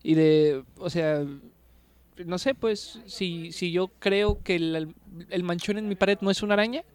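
A man's voice: high voice, a little slow, clean tone. A man talks through ideas about books at an easy pace. He thinks aloud, circles back on his phrases and keeps tagging them with questions to his co-host. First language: Spanish. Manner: thinks aloud, tag questions after nearly every phrase. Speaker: book club podcast co-host. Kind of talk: casual literary discussion.

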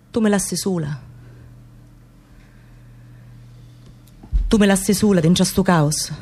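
A woman's voice: mid-range voice, a little mellow, natural voice. An actress playing an anguished, defiant working-class Sicilian woman in a radio theatre piece, in incantatory, repetitive dramatic monologue with a Sicilian accent. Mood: anguished, dreamy. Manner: incantatory, repeating phrases with intensity.

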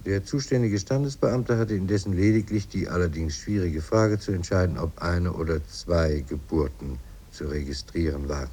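A man reading formal scripted narration in a measured, sober mid-century radio manner. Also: low voice, steady tone, background noise.

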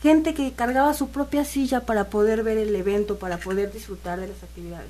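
A woman's voice: medium pitch, a little fast, slight background noise. Woman guest, eager organizer, chatty with fillers. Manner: enthusiastic, warm-hearted, fillers like "eh, pues". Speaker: festival organizer. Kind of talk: casual radio interview.

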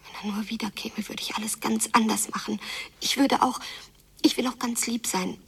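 A woman's voice: fairly high pitch, fairly even intonation, moderate pace, vocal fry, a bit bright, husky, far from the mic, exaggerated voice. An actress playing a hurt, yearning girl abandoned by her father in an intense radio play monologue that often drops into whispering. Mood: pleading self-blame.

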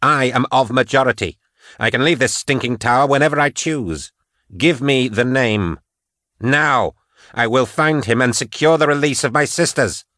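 A man voicing a defiant, hot-tempered young woman in an audiobook, with sharp and insistent delivery. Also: medium pitch, normal speed, no room sound, clean studio sound, voice pushed up, lightly acted. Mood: furious, defiant. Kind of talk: audiobook character acting.

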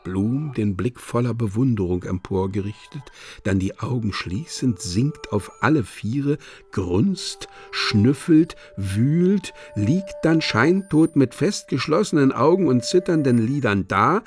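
Narrator for radio drama: slow, deliberate, very lively pitch movement, savouring each grotesque stage direction. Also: male voice. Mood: deliberate, relishing.

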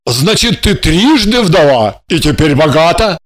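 A woman voicing an ardent, excitable male painter in love, speaking in an effusive, exclamatory way. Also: low-pitched, unhurried, no echo, clean studio sound, full character voice. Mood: tender. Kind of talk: audiobook character reading.

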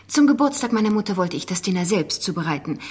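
An actress playing a prickly, defensive young gentlewoman in a radio play, husky and curt. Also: medium pitch, lively intonation, fast talker. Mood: guarded. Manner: curt, guarded.

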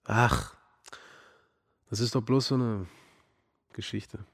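An actor playing a haunted, wry young American Vietnam veteran in a radio drama, talking colloquially and haltingly. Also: male voice, lowish voice, big pitch swings, gravelly, full character voice. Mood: wry, downplaying. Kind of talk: radio drama acting, storytelling. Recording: music behind the voice. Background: music.

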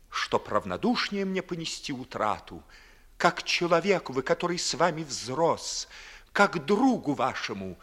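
An actor playing a lovesick, sharp-witted young nobleman in a 1950s Russian verse radio play, with declamatory, theatrical delivery. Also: mid-range voice, breathy edge, exaggerated voice. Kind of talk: 1950s Russian classical stage acting in verse.

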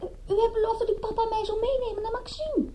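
Very high-voiced playful young society woman. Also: steady tone, fast talker, mellow, slight background noise. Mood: coaxing. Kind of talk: radio drama acting.

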